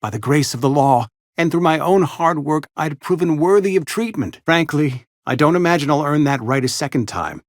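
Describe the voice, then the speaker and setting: A man plays a prim, mournful frontier barber and undertaker from a video game, loyal to his company. His voice sits a little high and swings expressively up and down. He talks in florid, over-polite, formal sentences, in a dry, close recording. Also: expressive, normal speed, few pauses, no echo, lightly acted. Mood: apologetic, resigned. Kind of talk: theatrical video game character acting.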